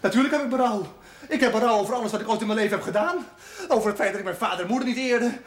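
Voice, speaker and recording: An actor playing a bitter, tormented young male sinner in a television drama, sarcastic and desperate, swinging from muttering to outbursts. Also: sits high. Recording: noisy 1970s TV soundtrack.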